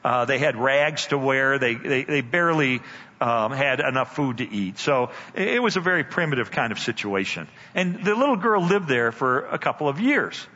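Lively husky older preacher in a hall. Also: male voice, mid-range voice. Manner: dramatic storyteller, slows for emphasis. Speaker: guest speaker. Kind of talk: storytelling sermon. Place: church sanctuary. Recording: dull church hall reverb.

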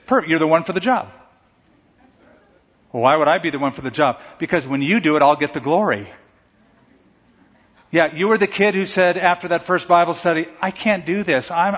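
A man preaching a sermon to a congregation in a conversational, animated way, sometimes acting out stammering quotes. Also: a little high, breathy edge. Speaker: pastor. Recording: dull sound in a large reverberant hall.

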